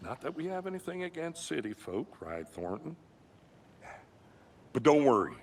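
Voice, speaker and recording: A man's voice: fairly high pitch, big pitch swings, normal speed, recorded from a distance, slight background noise. A man playing a bumbling small-town deputy in a video game, folksy, hesitant and awkwardly polite. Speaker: sheriff's deputy. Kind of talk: comic-relief video game acting.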